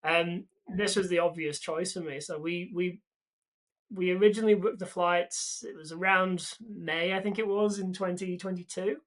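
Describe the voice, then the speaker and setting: A man's voice: high-pitched, steady tone, moderate pace, a bit bright, low-quality recording. A man with an English accent, telling stories casually as a podcast guest, repeating words as he goes. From England. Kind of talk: casual storytelling.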